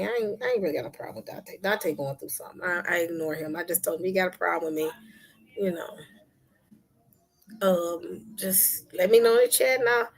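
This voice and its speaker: A woman hosting a casual livestream chat, talking to her viewers with soft whispery asides and sudden loud bursts. Her voice is husky, as if she is losing it. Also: medium pitch, low-quality recording. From Memphis, United States. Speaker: livestream host.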